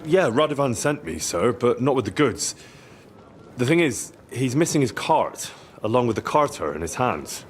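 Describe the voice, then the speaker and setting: An actor playing an earnest, cheeky young blacksmith's hand, polite and plain-spoken, in a video game. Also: male voice, mid-range voice, sing-song, normal speed, slight background noise, close to an everyday voice. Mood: earnest, hesitant. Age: young adult. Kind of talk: video game dialogue acting.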